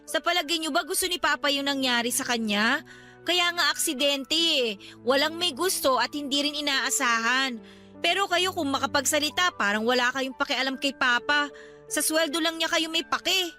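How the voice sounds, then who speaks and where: An actress playing an earnest young adult daughter, protective and pleading, respectful but firm, in a radio drama. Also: female voice, high-pitched, moderate pace, bright, forceful tone, faint music behind. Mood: pleading, defensive. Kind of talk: Tagalog radio drama acting.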